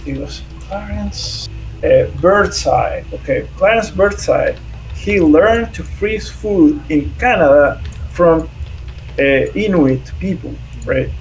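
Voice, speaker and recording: A man explaining something on a livestream in a halting way, often checking in with "right?" and filling gaps with uh. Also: high-pitched, rough recording. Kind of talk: casual explanatory talk.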